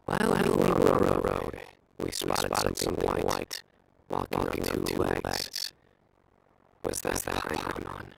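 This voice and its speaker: Very deep deadpan young adventurer. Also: male voice, vocal fry, very noisy, heavily acted. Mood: serious. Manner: deadpan, deliberate, pedantic. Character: solemn young male adventurer who tells bad jokes earnestly. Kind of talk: anime-style video game voice acting. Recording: faint music behind.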